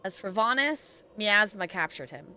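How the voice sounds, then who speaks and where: A woman's voice: mid-range voice, lively intonation, normal speed, sharp tone, a little hiss or hum. A playful, chatty woman giving casual live gameplay commentary as a streamer. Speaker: game streamer.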